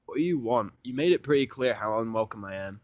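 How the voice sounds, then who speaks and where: A man's voice: mid-range voice, expressive, fast talker, darkish tone, no echo, lo-fi sound, lightly acted. A man voicing a sullen, guarded and impatient male outsider in a story reading.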